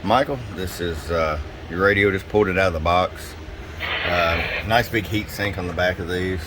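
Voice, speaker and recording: A man's voice: sits low, moderate pace. A man giving a casual, folksy, unscripted product demonstration as a radio technician, talking directly to a customer. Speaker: radio technician presenting tune-up reports. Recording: clear steady background hum and noise.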